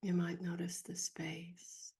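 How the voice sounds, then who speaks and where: A woman leading a guided meditation as a teacher, speaking gently and softly in a breathy, husky voice with long pauses. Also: lowish voice, completely flat delivery. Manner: slow, gentle, soft-spoken with long pauses.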